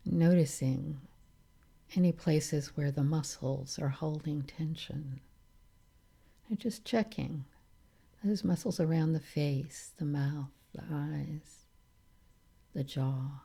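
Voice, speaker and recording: Woman meditation teacher, real slow n low, very soft dark tone, bit of creak, calm w long pauses. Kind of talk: guided meditation.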